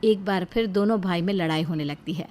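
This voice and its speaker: A woman's voice: fairly low pitch, a little fast, a little mellow, soft background music. A woman narrating a scripted family story as a storyteller.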